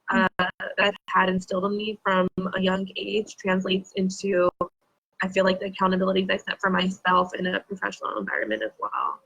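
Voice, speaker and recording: A woman's voice: mid-range voice, pushed and bright, no echo, low-quality recording. A woman on a discussion panel, explaining her thoughts steadily. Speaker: panel member.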